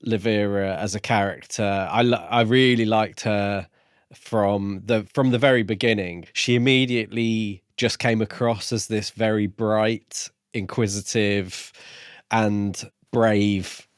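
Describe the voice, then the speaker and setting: Lowish-voiced man discussing books, dry sound. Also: fairly even intonation, a little slow, clean tone, no room sound. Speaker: podcast co-host. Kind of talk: casual book discussion.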